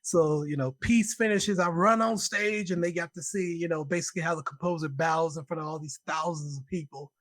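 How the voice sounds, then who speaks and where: A man from Alabama with a slightly raised voice, chatting as a guest over a video call. He tells his stories in an animated way, laughing often and breaking into excited quoted voices. The sound is dry and close. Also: fairly high pitch, brisk, few pauses, dry recording. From Birmingham, Alabama. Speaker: guest, concert composer. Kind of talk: casual conversation.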